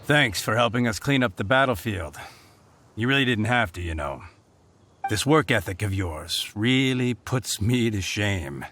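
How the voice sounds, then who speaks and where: A man's voice: on the low side, lively intonation, measured pace, a bit bright, a little hiss or hum. A man playing an earnest, mildly grumbling, self-deprecating knight in a video game, conversational in delivery. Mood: grateful, humble.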